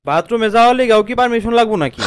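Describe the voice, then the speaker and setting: A man's voice: very high voice, expressive, very fast, very noisy. A man voicing a put-upon, exasperated young cartoon husband with a protesting manner and a Bangladeshi accent. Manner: protesting, complaining. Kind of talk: comedy cartoon dialogue.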